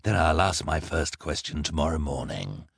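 Man doing a smug villain, scheming, sneering, deep voice, dry sound. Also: very animated, moderate pace, gravelly, fairly bright tone, slightly rough, no room sound, heavily acted. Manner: smug, cold.

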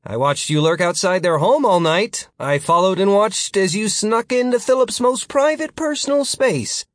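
A man's voice: sits high, moderate pace, no echo, voice pushed up, close to an everyday voice. A man voicing a smug, teasing male friend in an audiobook story, glib and matter-of-fact. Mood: smugly accusing.

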